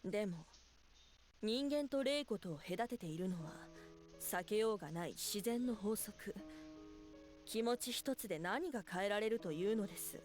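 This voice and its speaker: A voice actress playing a solemn, sad and stern spirit woman in a Japanese visual novel, speaking in formal, measured lines. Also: medium pitch, expressive, darkish tone, faint music behind, natural voice. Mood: grave, doubting.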